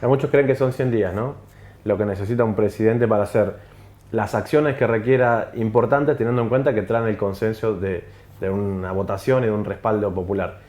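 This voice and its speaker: A man, a journalist guest on a TV panel, giving measured political analysis. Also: lowish voice, fairly even intonation, darkish tone. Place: TV studio. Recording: echoey studio.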